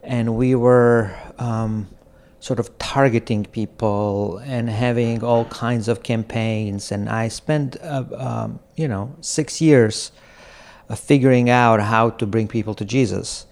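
A middle-aged man from Croatia, a podcast guest speaking slowly and reflectively in an apartment, with halting phrasing, frequent pauses and soft laughs. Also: mid-range voice, steady tone. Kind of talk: reflective conversation. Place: apartment in a city.

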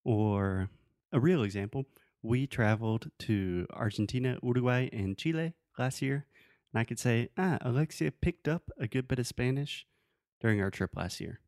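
A man's voice: medium pitch, lively intonation, moderate pace, darkish tone, dry recording, studio quality. A man who is a native English speaker, teaching in a casual conversational way with everyday examples and checking that the listener follows. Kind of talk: casual conversational language lesson.

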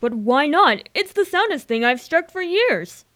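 A woman playing a stubborn, eager young gentleman in radio drama dialogue. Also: high-pitched, sing-song, a little slow, dry recording, heavily acted. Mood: eager, defiant.

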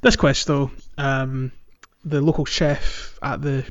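Casual male games podcaster, medium pitch, halting conversational phrasing, slightly muffled recording, music bed. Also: flat delivery. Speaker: podcast host. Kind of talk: casual podcast chat about a video game.

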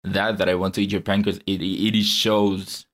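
A man's voice: low voice, little pitch movement, moderate pace, fairly bright tone. A young man co-hosting a podcast in casual chat, earnestly explaining a film's story at length. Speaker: podcast co-host.

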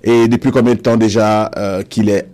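A man's voice: fairly low pitch, flat delivery, normal speed, dark tone. A man hosting a live panel show from a studio, with a wordy, ceremonious way of presenting. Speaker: host.

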